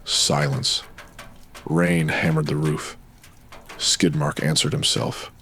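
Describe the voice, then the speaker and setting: A man narrating a pulp noir audio drama in dramatic, brooding, measured phrases. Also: a bass voice, little pitch movement, fairly bright tone, slight background noise.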